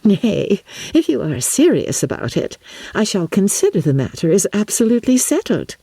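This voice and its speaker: A woman's voice: mid-range voice, very animated, moderate pace, vocal fry, warm tone, slightly rough, no echo, voice pushed up, heavily acted. A woman voicing a snobbish society lady with an affected, gushing, archly mocking manner in an audiobook. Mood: mock astonishment.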